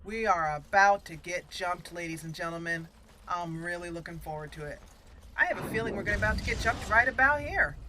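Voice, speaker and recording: A woman hosting a let's play, chatting casually about her gameplay and reacting excitedly to the action. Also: lowish voice, brisk, a little hiss or hum. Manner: chatty, excitable reactions, talks to party members.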